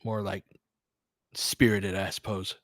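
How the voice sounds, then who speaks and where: A man's voice: sits low, level tone, slow pace, fairly bright tone, dry recording, clean studio sound. A man with an American accent giving casual live basketball commentary, thinking aloud and chatting conversationally with listeners. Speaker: host of fan play-by-play livestream.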